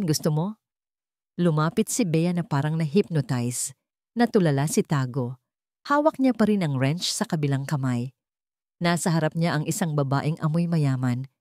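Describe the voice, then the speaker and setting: Low-voiced woman narrating a love story. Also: a little mellow, dry recording, clean studio sound.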